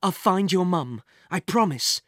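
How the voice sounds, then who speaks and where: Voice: medium pitch, lively intonation, a little slow, fairly bright tone, no room sound, studio quality. Earnest, urgent boy secret agent, voiced by a narrator. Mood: reassuring. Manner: urgent, intense.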